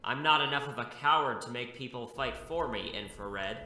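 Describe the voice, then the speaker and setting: A man's voice: mid-range voice, normal speed, sharp tone. A man playing a confident superhero mentor in an audio drama, with a dry, self-assured, instructive manner. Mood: stern defiance.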